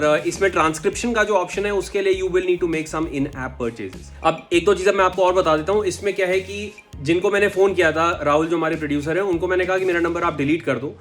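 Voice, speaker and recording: Higher-voiced Indian man explaining over music. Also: fairly bright tone. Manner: conversational, switches between Hindi and English. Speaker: tech show host. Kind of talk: casual explainer to viewers. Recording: music under the voice. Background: background music.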